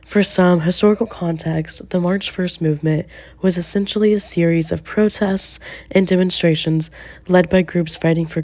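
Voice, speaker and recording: A young woman reading a prepared film review and historical summary, slowing down carefully on names. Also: lowish voice, mellow. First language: English. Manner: careful, slowed delivery on names. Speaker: student presenter. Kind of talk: scripted film review narration.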